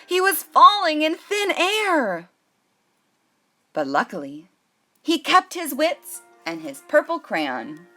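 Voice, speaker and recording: Animated woman reading a children's story. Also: high voice, takes it slowly, faint music behind. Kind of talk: expressive picture-book read-aloud for children.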